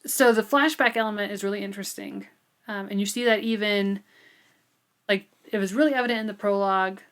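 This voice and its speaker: A woman's voice: mid-range voice, fairly even intonation, brisk. A woman co-hosting a podcast book discussion in a casual, informal way, thinking aloud as she talks. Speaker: podcast co-host.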